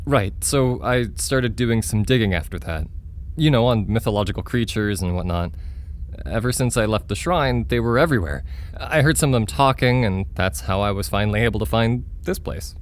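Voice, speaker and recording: A man acting an excitable, forgetful young man who tells his story in an earnest, conversational way for an audio drama. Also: fairly low pitch, moderate pace, slight background noise, natural voice. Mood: matter-of-fact.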